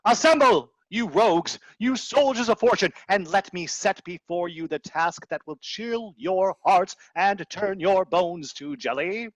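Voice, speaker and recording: A man's voice: high-pitched, animated, measured pace, hardly any pauses, no room sound. A man voicing a pompous, scolding professor who commands misfits in a mock-archaic radio play, with theatrical delivery. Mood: commanding. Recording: dull, dry lo-fi video-call audio.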